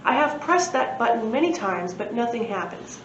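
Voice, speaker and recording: In an echoey room with a slightly dull sound, a woman plays a pompous dinosaur professor from a storybook. He speaks earnestly and formally, in wordy, matter-of-fact pronouncements, pitched on the high side. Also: big pitch swings, normal speed. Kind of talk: storybook read-aloud. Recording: echoey room, muffled, faint noise.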